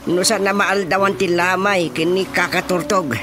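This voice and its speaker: A man's voice: high-pitched, fairly even intonation, moderate pace, crowd noise, natural voice. A man playing a flustered old husband. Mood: fretful. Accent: Ilocano. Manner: stammering, confused exclamations. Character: old husband, fretful and easily startled. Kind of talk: radio drama comedy acting. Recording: radio drama with music behind.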